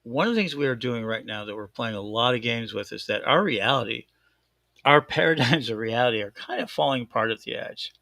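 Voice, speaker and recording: A man hosting a casual show in a thick Chicago accent, rambling excitedly and often doubling back on himself. His voice is bright and gravelly. The sound is close and dry. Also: medium pitch, brisk, no room sound. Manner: excitable, rambling, self-correcting. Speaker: host. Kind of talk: casual live-stream chat.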